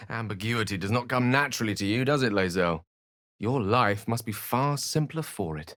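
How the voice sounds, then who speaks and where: A man's voice: lowish voice, normal speed, a bit bright, no echo, clean studio sound, close to an everyday voice. A man voicing an eloquent, wry, learned wizard for a video game, speaking in an articulate, wordy, theatrical manner. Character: eloquent, learned adult male human wizard, wry and courteous. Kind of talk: video game character voice lines.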